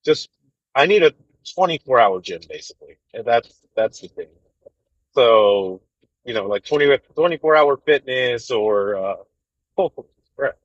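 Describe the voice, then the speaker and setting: A man in his thirties chatting casually with viewers on a livestream, rambling and relaxed with lots of fillers. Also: mid-range voice, unhurried, dry recording, lo-fi sound. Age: 30s. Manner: laid-back, rambling, full of fillers and "dude". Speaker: truck driver livestreamer. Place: inside a truck cab.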